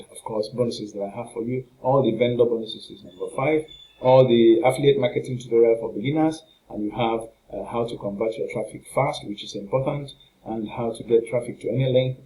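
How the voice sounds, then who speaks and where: A man giving an informal, halting and repetitive screen walkthrough as a product reviewer. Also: medium pitch, mellow, poor audio. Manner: halting, repetitive, rambling narration with filler phrases.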